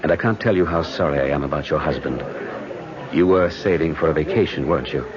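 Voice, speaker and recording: An actor playing a distrustful, laconic accomplice in a radio drama. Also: male voice, extremely low pitch, quick pace, a crowd in the background. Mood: solemn. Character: gruff, distrustful male accomplice in a swindle. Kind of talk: 1970s radio drama acting. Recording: muffled old radio recording, background murmur.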